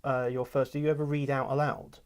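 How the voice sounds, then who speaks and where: Fast-talking male interview host. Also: medium pitch, little pitch movement. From England. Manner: agreeable, quick interjections. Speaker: audiobook producer, host. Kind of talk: relaxed interview chat. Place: recording studio.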